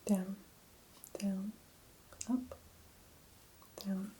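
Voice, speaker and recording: A woman playing a patient in soft-spoken ASMR role-play, giving quiet, brief answers. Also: medium pitch, flat delivery, very slow.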